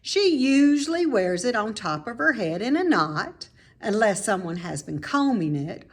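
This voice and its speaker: A woman reads a children's story aloud at a leisurely pace. Her mid-pitched voice moves up and down in a lively way, and she phrases each idea deliberately and expressively for young listeners. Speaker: storybook reader, teacher.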